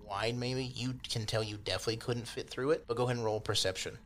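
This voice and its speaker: A man's voice: medium pitch, steady tone, fast, slight background noise. A man acting as game master, narrating a tabletop fantasy game in a casual, improvised, conversational way with loose descriptions and asides.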